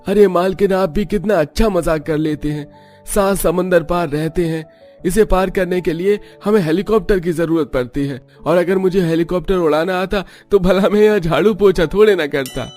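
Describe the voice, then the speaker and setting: A man's voice: high-pitched, moderate pace, darkish tone, faint music behind, lightly acted. A man voicing a humble, cheeky and helpful household servant in a cartoon story, deferential and explanatory. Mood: deferential, joking. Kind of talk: Hindi cartoon dubbing.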